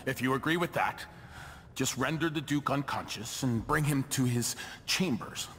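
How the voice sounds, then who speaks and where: An actor playing a shrewd male body double of a duke in a video game, speaking in a measured, wry, theatrical way. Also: medium pitch, a bit bright, in a large hall, close to an everyday voice. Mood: confident. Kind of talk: video game cutscene acting.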